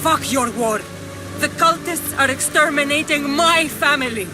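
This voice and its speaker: A woman's voice: sits high, unhurried. An actress playing a stubborn, intense young Greek mercenary woman in a video game cutscene. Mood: defiant, desperate. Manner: intense, clipped, defiant. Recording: game music behind the voice.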